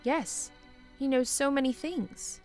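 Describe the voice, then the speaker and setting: Gracious, highish-voiced wife, acted by a woman. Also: takes it slowly, darkish tone, soft background music. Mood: cheerful, affectionate. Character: kindly, gracious bishop's wife, gently cheerful. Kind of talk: film-adaptation audio drama acting.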